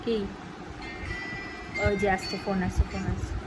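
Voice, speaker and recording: A woman's voice: medium pitch, flat delivery, moderate pace, loud music behind the voice. A middle-aged woman with a West Bengal accent chatting casually for a home vlog, rambling and rephrasing as she goes. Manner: rambling, repeats and rephrases herself. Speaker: family vlogger. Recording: clearly noisy home recording.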